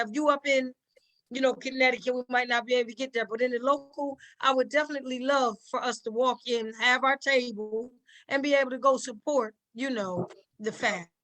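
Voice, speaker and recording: A woman with a bright, forceful voice on the higher side chats as a guest on a panel. She is modest and deferential, circling back over her words for emphasis. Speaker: stage play cast member, guest. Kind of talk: casual panel conversation.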